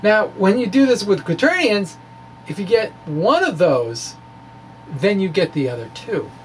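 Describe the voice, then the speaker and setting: A man giving an informal, animated explainer of his own material, with wry asides and a gravelly edge to his voice. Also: high voice, unhurried, a little hiss or hum, poor audio. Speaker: physics blogger presenting his own puzzles. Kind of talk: informal explainer, reading and discussing a blog.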